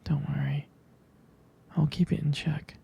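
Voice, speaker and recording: A man playing a jealous, devoted boyfriend in an intimate ASMR roleplay, murmuring tenderly and hesitantly in a breathy, husky near-whisper. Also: a little high, level tone, unhurried, dark tone, a little hiss or hum. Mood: possessive, devoted. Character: jealous, protective, devoted young boyfriend soothing his partner.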